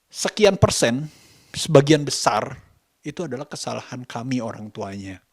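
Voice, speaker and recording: A man with a Jakarta Indonesian accent speaks in a slow, unhurried way at a middling pitch. He is vlogging casually, reflecting aloud and often stopping to search for the right word. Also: a little mellow. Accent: Indonesian, Jakarta colloquial. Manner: halting, searching for words, thoughtful. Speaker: vlogger and parent. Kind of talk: reflective casual monologue to camera.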